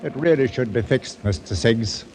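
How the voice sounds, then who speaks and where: An actor playing a stolid, literal-minded sea captain with a hesitant, matter-of-fact manner in a 1940s radio drama. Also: male voice, mid-range voice, moderate pace, a little mellow, lightly acted. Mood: fussy, deliberate. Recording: noisy old radio recording.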